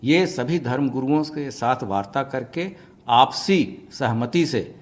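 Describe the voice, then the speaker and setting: A male official speaks very slowly in a medium-pitched voice. He delivers a formal statement, choosing his words deliberately. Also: expressive, slight background noise. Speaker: government official.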